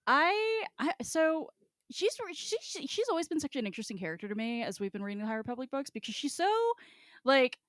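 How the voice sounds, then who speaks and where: A woman's voice: high-pitched, animated, a little fast, fairly bright tone, no echo, clean studio sound. A woman co-hosting a podcast, chatting informally about books in a wry, sweary way. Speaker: podcast co-host. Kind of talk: casual book discussion chat.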